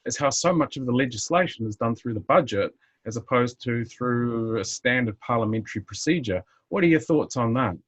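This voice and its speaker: Male interviewer, mid-pitched. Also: animated, a little fast. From outside the United States. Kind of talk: conversational interview.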